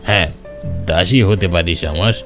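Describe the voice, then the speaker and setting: A man acts out an older village landlord, the villain of a horror cartoon. He is deep-voiced, haughty and domineering, with cruelty in his delivery. Faint music sits behind the voice. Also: moderate pace, lightly acted. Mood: menacing contempt. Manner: domineering, contemptuous. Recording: background music under the voice.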